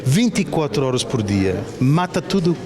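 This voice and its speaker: Higher-voiced Canadian-born man, emphatic and repetitive. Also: sing-song. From Toronto, Canada. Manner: emphatic, repetitive, halting search for words. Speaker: television producer being interviewed. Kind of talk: spontaneous interview answers. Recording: busy crowd chatter around the speaker. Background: crowd of people talking.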